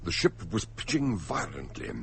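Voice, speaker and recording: Gravelly, cautious doctor telling a mystery. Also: male voice, low-pitched, big pitch swings, moderate pace, very noisy. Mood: tense recounting. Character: mild, cautious male doctor recounting a shipboard mystery. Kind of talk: radio drama narration and dialogue.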